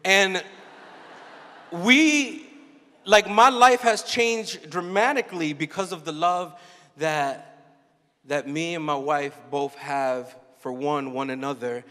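A man in his thirties giving an animated, story-filled keynote talk to a youth conference crowd on a handheld mic. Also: fairly high pitch, slow. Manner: animated, storytelling, acts out quotes. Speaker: keynote speaker. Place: large dark arena stage before a young crowd. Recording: long hall reverb.

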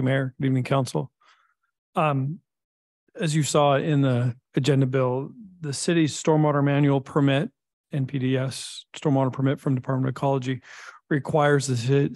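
A middle-aged man, a public works director, presenting a technical report at a council meeting in a measured way with frequent filler pauses. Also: mid-range voice, flat delivery, darkish tone, dry recording. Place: city council chamber.

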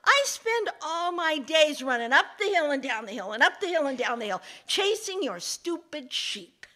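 Storybook dog, woman performing: overworked, sarcastic complainer, bright and very animated. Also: high-pitched, moderate pace, pitched above the performer's own voice, heavily acted. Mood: indignant. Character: fed-up, overworked male farm dog, bitterly sarcastic.

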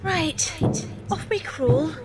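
A woman's voice: very high voice, animated, drawn-out words, very noisy, heavily acted. A woman playing a spirited young adventurer from 1930s England in an amateur audio drama, British, prim and teasing.

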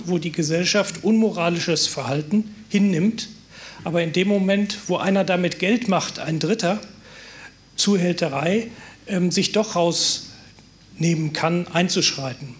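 A man with a fairly high-pitched voice addresses panelists from the audience. He is polite and articulate, laying out detailed questions in a formal style. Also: measured pace. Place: conference hall audience.

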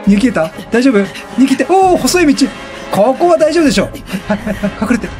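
A man commentates on a video game in a high, very animated voice. He reacts with bursts of repeated exclamations, while game music plays behind him. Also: moderate pace. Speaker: let's play gamer.

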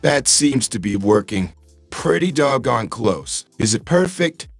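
Man voicing AI-cloned intense American actor type, husky, very lively pitch, tutorial demo, dry with faint music. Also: a little slow, no echo, exaggerated voice. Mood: explanatory. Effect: AI voice changer. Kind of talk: tutorial demonstration.

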